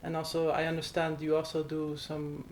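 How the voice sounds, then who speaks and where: A woman's voice: sits low, completely flat delivery, normal speed, a little mellow, slight background noise. A Dutch woman with a Dutch accent, speaking English as a second language while hosting an interview, hesitant with many fillers. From Netherlands. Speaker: host. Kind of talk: conversational interview. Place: Netherlands.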